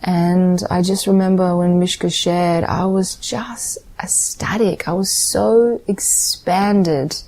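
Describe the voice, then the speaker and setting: A woman, a guest musician telling her story in a soft, dreamy, heartfelt way. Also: lowish voice, fairly even intonation, takes it slowly, few pauses. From Australia. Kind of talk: casual interview storytelling.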